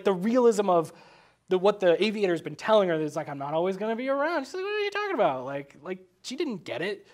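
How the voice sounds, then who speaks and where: A man chatting casually about film as a guest, restarting his thoughts and acting out imagined quotes as he rambles. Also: high-pitched. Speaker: podcast guest.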